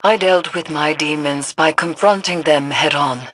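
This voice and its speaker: A cryptic female mystic guiding a warrior in a video game. Her husky voice is grave and deliberate, with a sharp, intense edge and a dry close sound. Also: low voice, dry recording. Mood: commanding. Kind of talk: dramatic video game voice acting.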